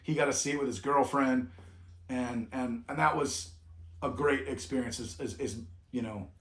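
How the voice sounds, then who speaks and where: A man's voice: mid-range voice, fairly even intonation, moderate pace, creaky, fairly bright tone. A middle-aged man from California, talking casually as a vlog co-host and rambling, with frequent restarts. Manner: rambling, with many restarts and trailing off. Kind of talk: reflective casual chat. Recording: echoey room.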